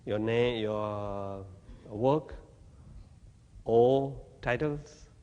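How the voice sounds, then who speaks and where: Slow-speaking man in a hall. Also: medium pitch, muffled recording. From Tibet. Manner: halting, plain non-native English with gentle humor. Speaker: Buddhist teacher. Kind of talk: dharma talk to an audience. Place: talk venue with audience.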